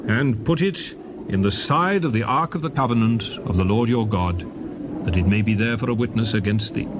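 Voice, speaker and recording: An actor playing Moses as a stern, solemn old prophet, declaiming scripture in measured phrases. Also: male voice, medium pitch, noisy background. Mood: stern warning. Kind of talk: dramatized scripture recitation.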